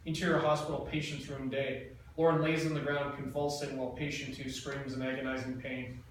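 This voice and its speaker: A man reading a screenplay's stage directions aloud in a plain, even way. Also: medium pitch, brisk, far from the mic. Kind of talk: reading screenplay action lines. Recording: echoey room, mic from afar, faint noise.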